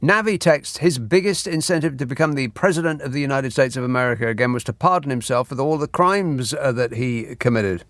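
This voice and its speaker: A man's voice: mid-range voice, fairly quick, few pauses, no room sound. Dry, sarcastic man hosting a radio phone-in. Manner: dry, sarcastic, deadpan asides. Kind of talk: late-night radio phone-in. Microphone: studio microphone.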